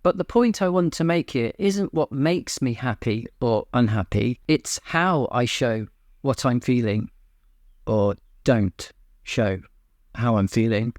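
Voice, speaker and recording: Deadpan monotone man, dry and close. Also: mid-range voice, slow, dry recording. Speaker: YouTube channel host. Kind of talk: scripted personal monologue. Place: home.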